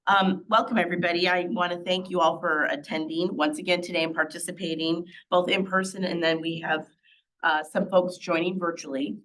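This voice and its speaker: An older woman with a cold, clearing her throat as she formally opens a public task force meeting as its chair. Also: fairly low pitch, fairly quick, slight background noise, poor audio. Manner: steady, formal, with many ums. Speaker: city council member chairing a meeting. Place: meeting room.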